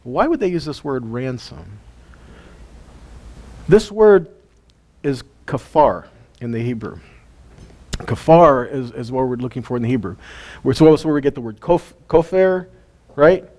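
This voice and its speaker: A man's voice: medium pitch, expressive, unhurried, pauses often, a little mellow. A man teaching a weekly Bible lesson in a conversational way, asking his listeners questions and chuckling between points. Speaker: teacher of a weekly Torah study. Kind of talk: informal Bible teaching to a live group.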